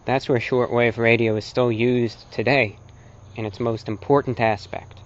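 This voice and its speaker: A man presenting an informal, opinionated lecture as a broadcaster, speaking emphatically and deliberately with rhetorical repetition. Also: medium pitch, fairly even intonation, lo-fi sound. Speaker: host and presenter, radio broadcaster.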